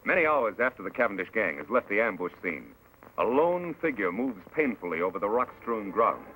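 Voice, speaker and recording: A man's voice: on the low side, very animated, brisk. A man narrating an old-time radio drama in a scripted, dramatic style. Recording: noisy old radio broadcast recording.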